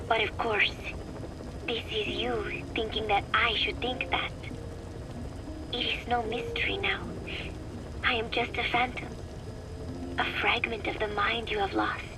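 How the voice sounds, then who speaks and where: An actress playing a wistful young woman, speaking softly and deliberately in an intimate taped diary for a video game. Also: on the high side, noisy background. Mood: wistful, resigned.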